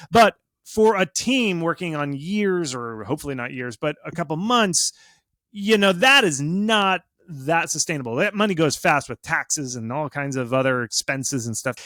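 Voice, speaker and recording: A man giving casual, animated explanations as an expert guest in an interview, speaking informally and with emphasis. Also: a little high, moderate pace, no room sound, studio quality. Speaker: video game marketing expert, interview guest.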